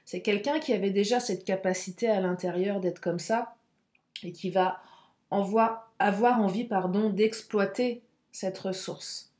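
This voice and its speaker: A woman doing a tarot reading for her viewers, hoarse and speaking through a stuffed nose, halting often with fillers. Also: mid-range voice, a little mellow, reverberant. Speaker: tarot card reader.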